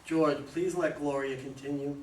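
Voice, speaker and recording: A man's voice: a little high, steady tone, normal speed, close to an everyday voice. Man acting knowing consultant, echoey room. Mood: composed, probing. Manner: instructive, questioning. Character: composed, knowing professional consultant advising clients. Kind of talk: staged play reading. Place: room at a live readers' theater reading. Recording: echoey room, distant mic, faint noise.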